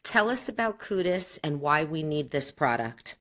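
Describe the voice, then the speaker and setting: A woman's voice: low-pitched, big pitch swings, normal speed, fairly bright tone. A woman, a psychotherapist, co-hosting a podcast, sounding sniffly, chatting animatedly. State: sniffly from allergies. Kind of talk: casual podcast chat.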